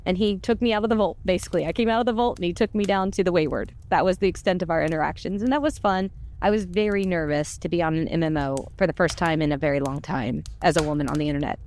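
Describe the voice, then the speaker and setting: A woman's voice: fairly low pitch, fast talker, few pauses, a little mellow, a little hiss or hum. A woman in her forties with an American accent tells personal stories in a casual, chatty way, as a voice actor on a podcast. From United States. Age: 40s. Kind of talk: casual conversational storytelling.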